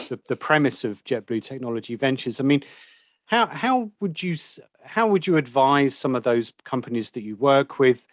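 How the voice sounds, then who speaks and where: A man's voice: mid-range voice, no room sound, studio quality. A man moderating a panel informally, with a reassuring manner and rambling, conversational questions. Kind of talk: informal interviewing. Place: remote video call.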